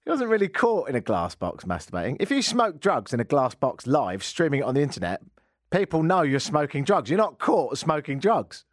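Animated, wry male radio host. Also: on the high side, fairly quick, no echo, clean studio sound. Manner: sardonic, rambling asides. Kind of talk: comedic radio chat.

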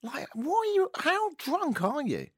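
A man's voice: extremely high pitch, huge pitch swings, unhurried, dry recording, studio quality. A man hosting a radio show, delivering a mocking, exasperated comic rant.

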